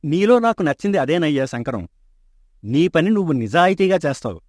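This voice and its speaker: A wealthy older merchant who treats his workers and his daughter with easy fatherly warmth. His voice sits a touch high for a man and is recorded dry and close, with no room sound. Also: fairly quick, no room sound. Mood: calm. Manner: calm, fatherly and assured. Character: rich older merchant father, kindly and teasing. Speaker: shop owner. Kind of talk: animated storybook dub. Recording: dry close studio mic.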